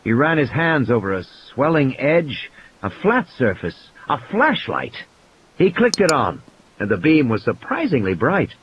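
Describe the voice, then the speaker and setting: Man narrating with lively, varied pitch. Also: unhurried, lo-fi sound, a little hiss or hum. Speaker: audiobook narrator. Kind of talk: scripted dramatic story narration. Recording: music and sound effects behind the voice. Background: music and background sound effects.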